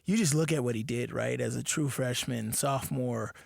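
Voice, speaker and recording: A man's voice: mid-range voice, normal speed, creaky, no echo, studio quality. A man who played football, chatting casually about players and rambling with many fillers. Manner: rambling, lots of fillers and restarts. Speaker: former football player, co-host. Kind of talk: casual sports chat.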